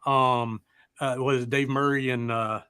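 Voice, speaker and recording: A man's voice: medium pitch, little pitch movement, normal speed, pushed and bright, clean tone, no echo, studio quality. A man co-hosting a casual chat show, rambling along in a folksy, informal way. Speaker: show co-host.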